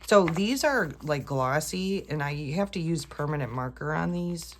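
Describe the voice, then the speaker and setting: A congested woman with a very low voice chats unhurriedly as she works through a craft project. She keeps talking to her viewers, changing her mind and correcting herself. Her nose is stuffed up, so her voice sounds a bit off. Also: expressive, a little slow, slight background noise. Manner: chatty, self-correcting, talks to viewers while working. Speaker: planner vlogger. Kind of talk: casual rambling craft chat.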